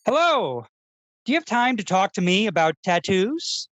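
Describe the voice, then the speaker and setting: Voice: mid-range voice, big pitch swings, moderate pace, a bit bright, dry recording. A performer playing an earnest, self-serious male public radio host who speaks in a pensive, pompous, awkwardly polite way, as in a scripted feature parody.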